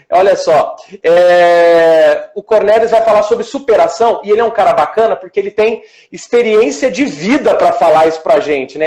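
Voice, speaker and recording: A man with a high-pitched voice hosts a casual online conversation. His delivery is effusive and colloquial, addressing guest and viewers in an informal, conversational way. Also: darkish tone. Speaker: live show host. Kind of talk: casual interview chat.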